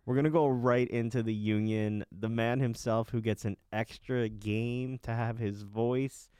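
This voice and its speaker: A man's voice: medium pitch, steady tone, a little slow, mellow, clear, resonant tone, no room sound, studio quality. A man hosting a casual sports talk show, steering guests through the conversation. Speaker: sports radio host.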